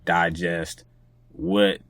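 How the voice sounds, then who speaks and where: A man's voice: sits low, flat delivery, extremely slow, a bit bright, dry recording. A man speaking casually and conversationally as a guest on a podcast.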